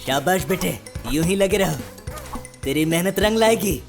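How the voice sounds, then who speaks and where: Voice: mid-range voice, expressive, moderate pace, music in the background, lightly acted. A performer voicing a wisecracking cartoon sidekick who teases and comments in punning, filmi one-liners. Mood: mocking. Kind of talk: Hindi comedy cartoon voice acting.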